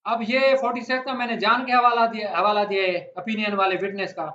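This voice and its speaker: A Pakistani man teaches like a law lecturer, talking directly to his listeners and putting questions to them. His voice is strikingly high-pitched and forceful. The sound is dull and lo-fi, as if captured in a roomy space. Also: fairly even intonation, fairly quick. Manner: conversational, puts questions to listeners. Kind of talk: explanatory lecture with everyday examples. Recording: echoey room, dull lo-fi sound.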